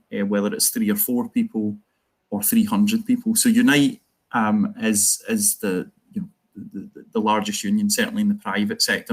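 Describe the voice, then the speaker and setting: A man with a Glasgow accent, speaking earnestly as a union organiser on an online panel. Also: lowish voice. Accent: Glaswegian. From Scotland. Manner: earnest, campaigning, quick asides.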